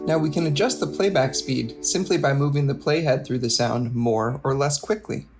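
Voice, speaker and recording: A man's voice: medium pitch, moderate pace, music in the background. A man narrating a scripted technical tutorial in a methodical, explanatory way, as a product demonstrator.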